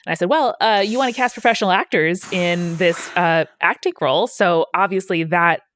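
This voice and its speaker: A woman's voice: lowish voice, moderate pace, a bit bright. A woman hosting a podcast interview in a casual, conversational way. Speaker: podcast host, business owner.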